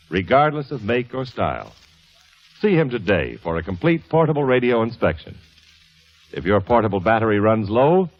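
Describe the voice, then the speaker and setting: American guy, old time radio announcer, polished punchy sponsor reads, lots of pitch movement, muffled old recording.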